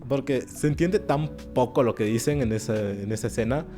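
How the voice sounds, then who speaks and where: A man giving animated, sarcastic commentary in an opinion video. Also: medium pitch, normal speed. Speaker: YouTube commentator. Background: faint background music.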